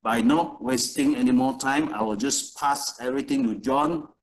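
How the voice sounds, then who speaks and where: A man's voice: medium pitch, moderate pace, low-quality recording. A man hosting an online session over a video call, introducing the guest speaker with fillers. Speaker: webinar host introducing the speaker. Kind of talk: event introduction.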